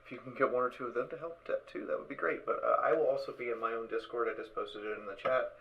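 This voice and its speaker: A man hosting a casual live stream over voice chat, talking haltingly and repeating his words as he organises. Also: medium pitch, steady tone. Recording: dull voice chat with background noise.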